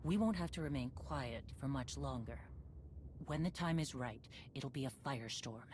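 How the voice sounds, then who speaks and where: A woman acting a stern, battle-hardened female warrior leader in a video game, commanding and measured in few words. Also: lively intonation, darkish tone. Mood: stern, resolute. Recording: rumbling background noise.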